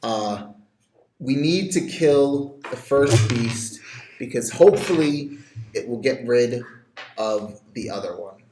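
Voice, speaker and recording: A man taking his turn as a player in a tabletop role-playing game, animated and laughing often. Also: medium pitch, takes it slowly, background noise. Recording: echoey room.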